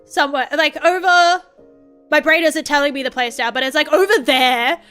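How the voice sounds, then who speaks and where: Bright high-voiced Australian woman rambling. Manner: rambling, swears often, quick laughs. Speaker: vtuber streamer. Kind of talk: casual chat to viewers. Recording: faint music behind.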